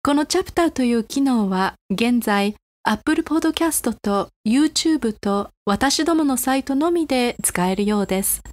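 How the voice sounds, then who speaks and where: A woman presents a podcast for language learners from a prepared script. Her voice sits fairly high and she moves along at a fast pace. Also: expressive.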